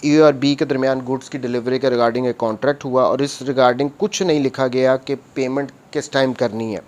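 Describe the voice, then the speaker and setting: A man with a Pakistani accent giving an explanatory law lesson as a lecturer, mixing in English legal terms and reading clauses deliberately. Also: medium pitch, steady tone, darkish tone. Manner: switches between Urdu and English legal terms. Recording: noticeable background noise.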